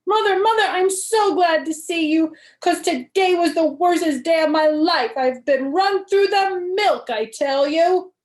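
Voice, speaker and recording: A woman's voice: very high-pitched, unhurried, few pauses, voice pushed up. Woman playing a dramatic, bossy little kindergarten girl, roomy sound. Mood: distraught. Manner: emphatic, theatrical, childish grammar. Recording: roomy, echoing home recording.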